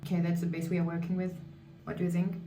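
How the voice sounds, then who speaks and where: A woman's voice: fairly low pitch, completely flat delivery, fast, mellow. A woman in her thirties, a beauty vlogger, chatting candidly while doing her makeup, speaking English as a second language and sometimes searching for words. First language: not English. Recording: echoey room, mic at a distance, faint music.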